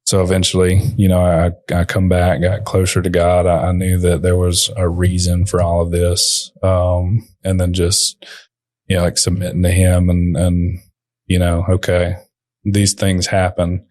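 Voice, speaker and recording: Young man, business-owner guest: low, flat, soft-spoken, halting; dry close sound. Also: no room sound. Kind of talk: podcast interview.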